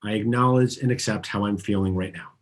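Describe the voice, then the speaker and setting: A man's voice: lowish voice, brisk. A man born in Argentina and raised in the US, coaching a group through a guided tapping session, earnest and asking listeners to repeat his phrases. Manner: earnest, guiding, has listeners repeat after him.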